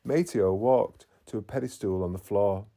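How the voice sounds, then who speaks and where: A man narrating a children's bedtime story in an expressive storytelling manner. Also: fairly low pitch, normal speed, creaky. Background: faint music.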